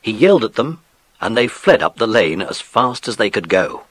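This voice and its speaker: A man narrating a children's mystery story in a measured, expressive storybook style. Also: lowish voice, slightly rough, dry recording, exaggerated voice, rough recording. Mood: measured storytelling.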